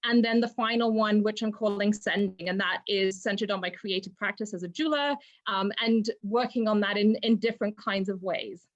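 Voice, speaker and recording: A woman's voice: medium pitch, steady tone, moderate pace. A woman from Zimbabwe, an associate professor, presenting at an online academic webinar with many hesitations and restarts. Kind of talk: academic presentation over video call. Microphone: video call. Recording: dull lo-fi video call audio.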